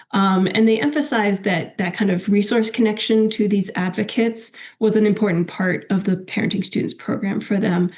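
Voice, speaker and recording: A woman presenting evaluation results in a webinar. She is getting over a cold, so her voice is a little crackly, and she talks in a measured way with frequent ums and restarts. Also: medium pitch, a little mellow.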